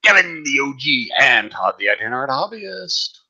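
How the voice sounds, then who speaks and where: A man's voice: mid-range voice, big pitch swings, moderate pace, bright, forceful tone, husky, dull audio. A man co-hosting a game stream, playful and self-deprecating, joking with his friend over a computer mic. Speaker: co-host and opponent. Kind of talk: casual chat, joking banter. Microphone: computer mic at his desk.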